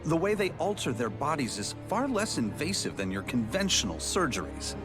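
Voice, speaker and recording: An actor playing a calm, matter-of-fact male scientist in a video game cut-scene. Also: mid-range voice, moderate pace, lightly acted. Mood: detached. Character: calm male scientist explaining grim history. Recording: game audio with music behind.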